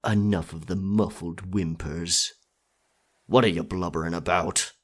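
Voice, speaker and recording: A man's voice: low voice, fairly even intonation, unhurried. A man playing a sadistic pirate captain in an ASMR roleplay, taunting in a menacing, mocking drawl full of pirate slang.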